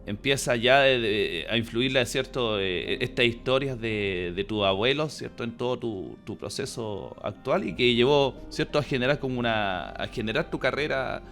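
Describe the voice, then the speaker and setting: A man with a Chilean accent hosting a casual interview, phrasing haltingly with frequent tag questions. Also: mid-range voice, fairly bright tone, soft background music. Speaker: interviewer and host.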